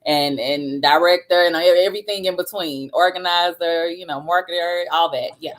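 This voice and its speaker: A woman's voice: on the low side, normal speed. A woman speaking as a guest on a talk show, introducing herself in a businesslike way with frequent fillers.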